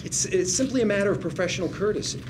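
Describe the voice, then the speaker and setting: An actor playing a proud, proper older lawyer brother, earnest, precise and placating, at a script table read. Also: male voice, high voice, fast, background noise, natural voice.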